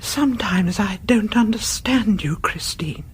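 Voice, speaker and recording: An actress playing a frail, kindly elderly neighbour in a radio play, genteel and hesitant, with a husky, breathy voice. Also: female voice, mid-range voice, lively intonation, unhurried. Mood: distressed, soothing. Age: older.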